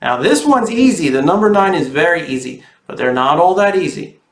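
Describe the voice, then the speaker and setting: A man with a slightly high voice in a very roomy, echoey space. He reads a children's storybook expressively and speaks straight to the kids watching, like an author hosting story time. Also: brisk. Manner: expressive, addresses young viewers directly. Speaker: children's author reading aloud. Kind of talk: animated storybook read-aloud and friendly address to children.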